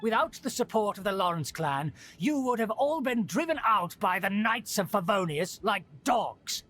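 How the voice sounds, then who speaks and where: A man's voice: sits high, lively intonation, normal speed, sharp tone, faint music behind, heavily acted. An actor playing a haughty middle-aged nobleman in a video game, a scheming uncle with a pompous, formal, theatrical manner. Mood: indignant. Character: haughty aristocratic uncle scheming to restore his clan's rule.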